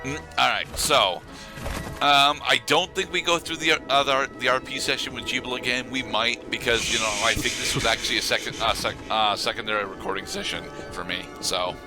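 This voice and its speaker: A man's voice: mid-range voice, fairly quick, poor audio. A man doing casual playthrough commentary as co-host of a gaming video, rambling and correcting himself as he goes. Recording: game music behind the voice. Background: video game music and sound.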